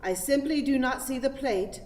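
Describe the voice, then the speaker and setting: An actress playing a prim, pious, dreamily distracted spinster in a radio play, with an affected, fluttery, sanctimonious manner. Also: female voice, sits high, normal speed, darkish tone, close to an everyday voice. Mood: airy, self-righteous. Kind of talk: theatrical radio play acting. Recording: echoey hall with long reverb.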